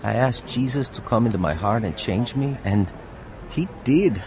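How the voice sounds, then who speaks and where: A man's voice: medium pitch, expressive, normal speed, a crowd in the background, close to an everyday voice. An actor playing an earnest, troubled young navy man in a confessional radio drama. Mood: joyful relief. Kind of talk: radio drama narration and acting. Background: music.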